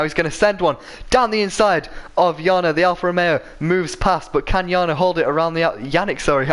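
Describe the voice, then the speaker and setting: A man giving live commentary on an online racing league, his voice croaky from a sore throat, rambling a bit and correcting himself with plenty of ums and uhs. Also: a little high, fairly bright tone. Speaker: race commentator.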